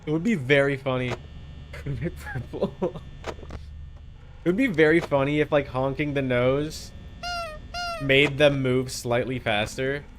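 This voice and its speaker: A male streamer with a medium-pitched voice gives casual live game commentary. He talks playfully to his viewers and the characters on screen, with a faint hum behind him. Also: measured pace, long pauses.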